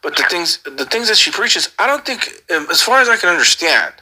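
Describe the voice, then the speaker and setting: A man's voice: sits high, very animated, fairly quick, pushed and bright, low-quality recording. A man on a casual call, asking earnest questions in a hesitant way and often repeating his phrases. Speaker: caller.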